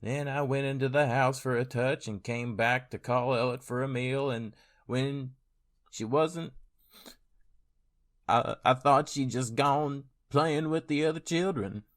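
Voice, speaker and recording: A man playing a grumbling older village father in a fantasy audio drama, halting and rustic in his talk, sick with worry. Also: mid-range voice, lightly acted. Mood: distraught.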